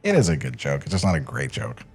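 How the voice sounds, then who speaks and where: A man's voice: extremely low pitch, huge pitch swings, fast, gravelly. Chatty guy podcast cohost, voice goes up n down a lot, music in background. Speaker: podcast co-host. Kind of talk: casual, bantering chat. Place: podcast studio.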